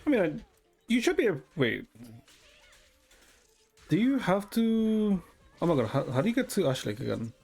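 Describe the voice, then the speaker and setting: A man's voice: sits high, big pitch swings, moderate pace, gravelly, pauses often, darkish tone, slightly rough. A man streaming a game and chatting casually with viewers, thinking aloud hesitantly with some mild swearing. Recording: music playing behind the voice. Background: music.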